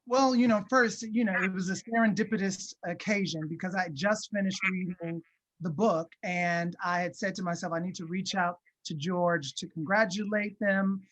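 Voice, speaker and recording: A man's voice: high-pitched, fairly even intonation, normal speed, a bit bright, poor audio. An American man, a film director, answering interview questions in a conversational, anecdotal way.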